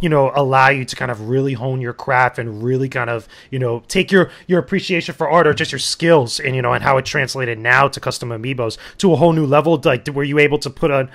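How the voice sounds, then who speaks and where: A man's voice: mid-range voice, gravelly, a bit bright. A man hosting a casual interview podcast, hyped and enthusiastic, using slangy phrases. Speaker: podcast host.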